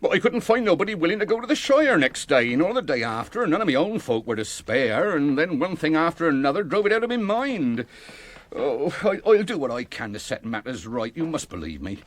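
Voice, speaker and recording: An English actor playing a flustered, apologetic fat innkeeper in a fantasy radio drama, bumbling and rambling with a rustic turn of speech. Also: male voice, a little high, sing-song, fairly quick. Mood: apologetic, anxious. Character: flustered, apologetic, forgetful fat innkeeper, loyal and well-meaning.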